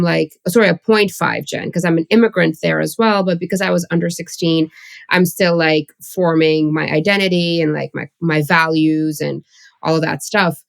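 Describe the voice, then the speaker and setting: Woman in her thirties, low voice, vocal fry, chatty podcast guest, dry close sound. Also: no room sound. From Pakistani, raised in Saudi Arabia, moved to Toronto at thirteen. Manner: chatty, lots of likes and ums. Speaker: psychotherapist guest. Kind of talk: casual interview chat.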